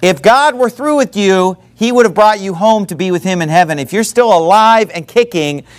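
Preacher, man in his thirties, high-pitched, lively and conversational, reads scripture. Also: moderate pace. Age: 30s. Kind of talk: sermon.

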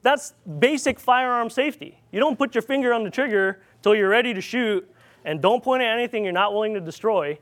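A man with a Filipino accent and a notably high-pitched voice preaches in an informal, rambling way. He tells stories with frequent fillers and restarts. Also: a little fast, a bit bright. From Philippines. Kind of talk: informal sermon storytelling.

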